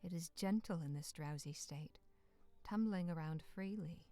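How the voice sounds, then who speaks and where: Solemn, lower-pitched woman narrating a story. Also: moderate pace, mellow, no room sound. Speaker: narrator of a visual novel. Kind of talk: scripted second-person dramatic story narration.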